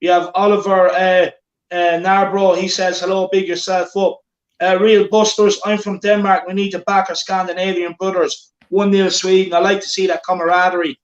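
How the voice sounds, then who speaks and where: A man's voice: high-pitched, level tone, normal speed, reverberant, highs cut off. A man hosting a football watchalong, chatting casually and reading out viewer comments. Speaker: watchalong host.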